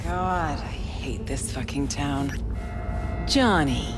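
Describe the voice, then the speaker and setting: A woman's voice: low-pitched, slow pace, loud music behind the voice. An actress playing a bitter, scornful female mercenary in a cyberpunk video game, blunt and biting. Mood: cold, weary. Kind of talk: video game drama acting.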